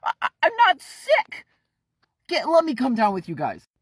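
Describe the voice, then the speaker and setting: A shrill, frantic young boy, as a storyteller puts him on, stammering and begging in a strained, pinched voice. His words tumble out high and breathless with desperation. The sound is dry and close. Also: unhurried, gravelly, warm tone, husky, no echo. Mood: desperate. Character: panicked pleading young boy.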